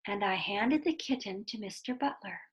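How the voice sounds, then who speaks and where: A woman reading a children's story aloud in a deliberate, explanatory way, with asides to young listeners. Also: medium pitch, animated, muffled recording, a little hiss or hum.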